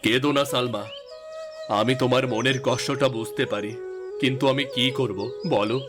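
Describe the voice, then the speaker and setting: Man playing an earnest middle-aged father, medium pitch. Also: steady tone, normal speed, music in the background, close to an everyday voice. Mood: consoling. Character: reasonable middle-aged father and husband, gently chiding. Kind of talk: melodramatic cartoon story acting.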